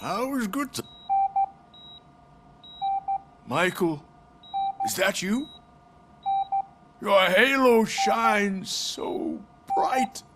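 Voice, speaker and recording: An actor playing a frail, dying old corporate chief in a video game, speaking in a labored, melodramatic, pleading way. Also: male voice, high voice, animated, extremely slow, pauses often, heavy background noise, full character voice. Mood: delirious. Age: older. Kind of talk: dramatic video game voice acting.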